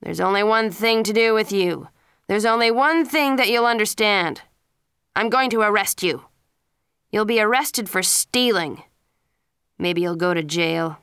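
A woman's voice: mid-range voice, expressive, measured pace, sharp tone, clear, resonant tone, no room sound, studio quality. A woman reading the lines of a harsh, angry father who is a police chief, scolding his son.

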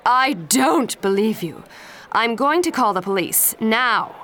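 A woman voicing a harsh, snobbish middle-aged aunt who shouts. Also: medium pitch, sing-song, a little slow. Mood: indignant. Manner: loud shouting.

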